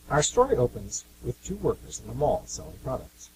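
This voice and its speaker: A man's voice: medium pitch, huge pitch swings, fairly quick. A man hosting and narrating a scripted amateur radio play. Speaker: host and narrator. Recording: amateur radio with clear hum noise.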